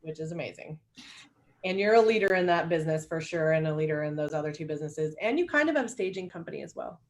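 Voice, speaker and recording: A woman's voice: deep voice, lively intonation. Upbeat woman hosting a podcast. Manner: upbeat, encouraging, quick asides. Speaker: podcast host. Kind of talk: casual interview chat.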